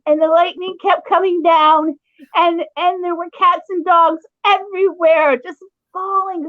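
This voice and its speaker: A woman's voice: very high voice, no echo, phone-quality sound. A woman improvising a silly fairy tale, telling it in long run-on sentences. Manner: dreamy, breathless run-on narration. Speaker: storyteller.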